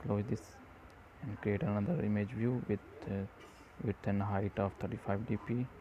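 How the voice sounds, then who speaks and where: A man slowly talking through app-building steps in a coding tutorial, dictating each step with short pauses and fillers. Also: on the low side, muffled recording, a little hiss or hum. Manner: slow, flat dictation of code steps with "uh" fillers. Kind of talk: screen-recorded coding walkthrough.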